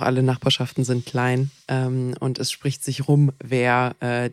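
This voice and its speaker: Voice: medium pitch, dry recording. Chatty podcast co-host, close dry sound. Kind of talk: casual podcast chat.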